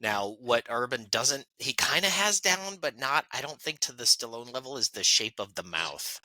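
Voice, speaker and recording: A man's voice: medium pitch, sing-song, normal speed, sharp tone, no room sound, rough recording. A man co-hosting a podcast, chatting casually and enthusiastically. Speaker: podcast co-host. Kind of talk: casual chat.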